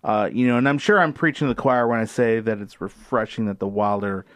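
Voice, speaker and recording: A man's voice: on the low side, a little fast, no echo. A man co-hosting a casual sports podcast, thoughtful, with many uh fillers, often posing questions to the others. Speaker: podcast co-host.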